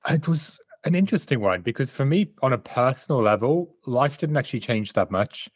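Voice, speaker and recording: A man's voice: mid-range voice, lively intonation, brisk, no echo, clean studio sound. A man in his thirties from South Africa, a performance coach and author answering interview questions in a candid, reflective way over a remote call.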